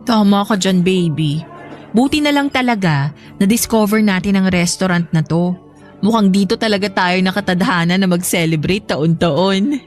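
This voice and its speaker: A woman's voice: mid-range voice, moderate pace, natural voice. Woman acting a practical girlfriend in romantic drama. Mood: fond, chatty. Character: contented adult girlfriend, practical, unconvinced about marriage. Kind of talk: Filipino audio love-drama acting. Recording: music bed behind the voice.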